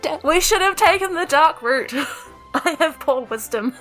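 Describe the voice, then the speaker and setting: A woman's voice: high-pitched, lively intonation, measured pace, loud music behind the voice. A woman chatting casually and playfully as a player in a tabletop role-playing game.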